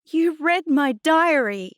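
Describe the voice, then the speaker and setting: A hot-tempered teenage girl as a woman narrator plays her, defiant and indignant. Her voice jumps high and shrill in a storybook quarrel, recorded close and dry. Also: animated, slow pace, no room sound. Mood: outraged. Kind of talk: dramatised audiobook dialogue.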